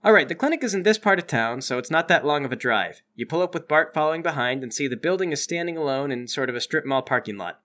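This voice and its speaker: A man's voice: fairly high pitch, animated, fast, hardly any pauses, a bit bright. A man acting as game master, narrating a tabletop game and bantering with the players.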